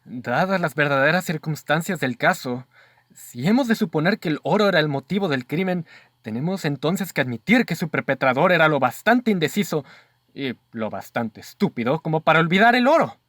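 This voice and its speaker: A man's voice: on the high side, expressive, a little fast, fairly bright tone, no echo, clean studio sound. An actor playing a doubtful male companion in a radio drama, questioning the case in a formal, earnest way. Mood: reasoning.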